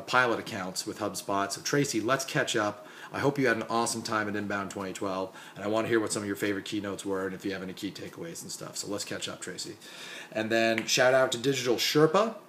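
A hoarse, exhausted young man, a marketing consultant, chatting casually through a recap vlog with lots of fillers. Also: on the low side, slight background noise. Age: young adult. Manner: chatty, filler-heavy shout-outs. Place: small dim room indoors.

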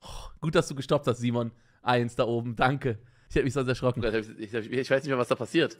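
A man's voice: mid-range voice, steady tone, a little fast, a little hiss or hum. Young guy streaming, reacting to memes, chatty, jumpy, laughs lots, mic up close. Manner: chatty, laughs often, easily startled. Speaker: streamer reacting to meme clips. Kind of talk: casual reaction commentary. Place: streaming room with colored lights. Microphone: studio microphone in front, headphones on.

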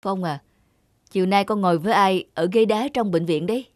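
A woman's voice: mid-range voice, fairly quick, a little mellow, no echo, studio quality, lightly acted. A woman voicing a protective, earnest father of a sick child in a cartoon. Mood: concerned. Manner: admonishing, parental, earnest. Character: stern, protective, worried father of a sick boy. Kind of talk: cartoon dubbing. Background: background music.

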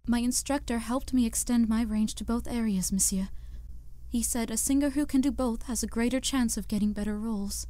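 A woman's voice: fairly high pitch, steady tone, a little fast, warm tone, dry recording, lightly acted. A woman playing a timid, polite young opera singer in an audio drama, hesitant and deferential.

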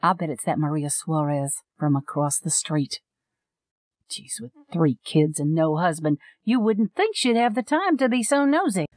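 An exasperated wife in a radio play. She is wry and blunt, with a slightly low voice in a dry, close recording. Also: lively intonation, moderate pace, darkish tone, dry recording. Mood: wry, frank. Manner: wry, colloquial. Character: exasperated, gossipy estranged wife, frank and wry. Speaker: voice actor. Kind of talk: audio drama dialogue.

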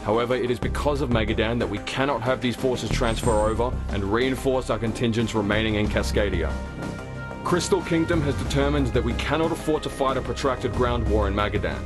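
A man's voice: medium pitch, brisk, music in the background, lightly acted. A man playing a formal, resolute military commander giving a scripted mission briefing in a game. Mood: grave, measured.

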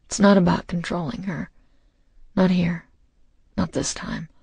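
A man narrating a romance audiobook in the first person, intimate, hushed and intense, with deliberate phrasing. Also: sits high, no echo. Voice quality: husky, breathy, gravelly.

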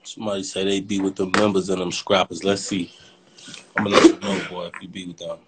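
A man's voice: deep voice, steady tone, fast talker. Young man bantering over noisy livestream. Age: young adult. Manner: teasing, slangy. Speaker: host of a social media livestream. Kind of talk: casual slangy banter with live viewers and callers. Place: home kitchen, indoors. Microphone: phone at close range. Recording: noisy phone livestream with clicks.

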